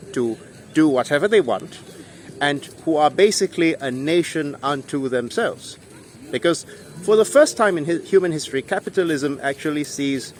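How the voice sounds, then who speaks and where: A young man explaining history to camera outdoors, earnest and lecturing, stressing key words, filmed on a phone at arm's length. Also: mid-range voice. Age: young adult. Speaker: history vlogger. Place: outdoors in a town square by old buildings. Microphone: phone held at arm's length. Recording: faint street noise with passing vehicles.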